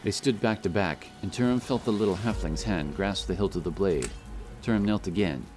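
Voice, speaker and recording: Male narrator, low register, dramatic scripted fantasy storytelling over prominent music. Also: normal speed. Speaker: audiobook narrator. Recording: loud music behind the voice.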